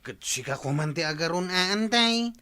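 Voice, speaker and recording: An actor playing an impatient, cheeky young nephew in a Filipino radio drama. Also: male voice, high voice, expressive, normal speed, pushed and bright, smooth.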